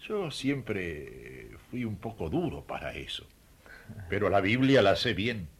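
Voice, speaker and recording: A man's voice: fairly low pitch, sing-song, slow, breathy edge, full character voice. A man playing a humble, devout ancient Judean traveler in a radio play, hesitant, respectful and earnest.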